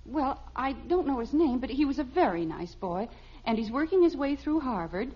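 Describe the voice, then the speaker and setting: An innocent, evasive suburban American mother in a 1950s radio sitcom. Her voice is fairly high and very expressive, gently placating, on a dull recording with faint hiss. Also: moderate pace. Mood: gently defensive. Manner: placating, naive, gently defensive. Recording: dull, slightly noisy old radio recording.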